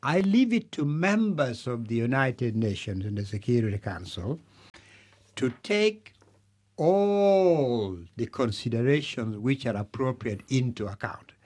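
Measured man in formal interview. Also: mid-range voice, expressive, darkish tone. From Uganda. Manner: measured, deliberate, emphatic lists with pauses. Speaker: candidate being interviewed.

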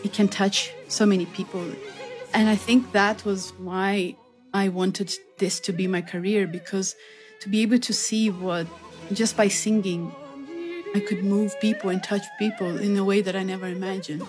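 A woman's voice: medium pitch, steady tone, normal speed, darkish tone, soft background music. A woman from southern Brazil with a Brazilian accent, speaking conversationally about her own life as an interview guest. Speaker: interview guest, classical singer. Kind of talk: conversational personal storytelling.

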